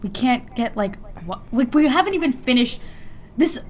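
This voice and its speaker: A woman's voice: a little high, expressive, moderate pace. A woman co-hosting a podcast in casual banter, slangy and sweary, with sardonic, exasperated asides. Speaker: podcast co-host.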